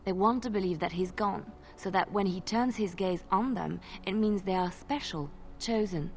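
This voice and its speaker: A woman playing a gentle, devout young bard in a fantasy video game, speaking in an earnest, reflective way. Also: medium pitch, moderate pace, lightly acted. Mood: earnest conviction. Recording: game audio with ambient noise.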